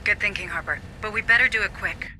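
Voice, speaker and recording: A woman playing a cool, analytical space-mission officer in a video game, giving measured, practical advice. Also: medium pitch, heavy background noise, close to an everyday voice. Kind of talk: video game dramatic acting.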